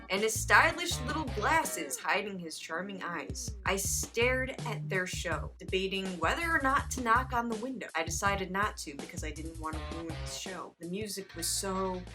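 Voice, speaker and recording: A woman reading fan fiction aloud for a comedy show, mixing dramatic storytelling with casual asides. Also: mid-range voice.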